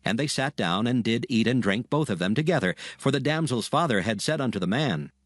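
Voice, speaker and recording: A man's voice: medium pitch, lively intonation, a little fast, no room sound. A man narrating dramatized scripture in a solemn storytelling manner, reading archaic English from a script.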